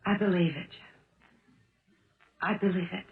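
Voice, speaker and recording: Concerned determined wife, direct questioning, 1970s radio drama, roomy lo-fi recording. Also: female voice, fairly low pitch, steady tone, a little slow. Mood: probing, sympathetic. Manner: direct, probing, earnest. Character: determined, concerned married woman questioning her old flame. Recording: roomy, dull old radio broadcast.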